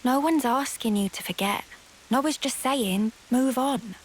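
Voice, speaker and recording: A woman's voice: sits high, unhurried, slight background noise, rough recording. A woman playing a cheeky but caring young female soldier in a game cutscene, blunt and informal. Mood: gentle, frank. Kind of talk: video game cutscene acting.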